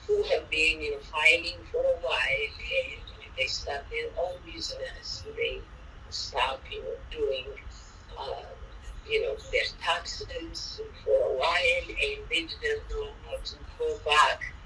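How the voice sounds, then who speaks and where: A woman's voice: deep voice, bright, forceful tone. A middle-aged woman from Ethiopia with an Ethiopian accent, an accountant guest speaking hesitantly in a webinar interview, with many "uh" fillers. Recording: distant mic, faint background noise.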